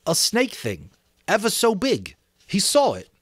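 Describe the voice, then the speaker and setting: A man's voice: high voice, huge pitch swings, unhurried, husky, no echo, studio quality, pitched above the performer's own voice, heavily acted. A man voicing an earnest, insistent schoolboy who speaks up urgently for others in a dramatic book reading. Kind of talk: dramatic audiobook character voice.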